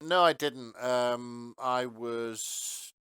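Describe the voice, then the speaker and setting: A man's voice: medium pitch, extremely slow, a bit bright, clean tone, no room sound, clean studio sound. A man chatting casually as a podcast co-host. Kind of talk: casual chat.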